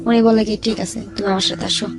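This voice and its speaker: A woman's voice: fairly high pitch, little pitch movement, fast talker. A woman with a Bangladeshi accent tells her life story informally as a guest, acting out the dialogue as she goes. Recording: music under the voice.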